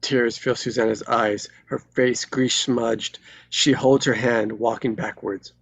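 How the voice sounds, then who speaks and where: A man's voice: medium pitch, steady tone, takes it slowly, vocal fry, a bit bright, slightly rough, no room sound. A man reading stage directions as scripted narration at a live table read.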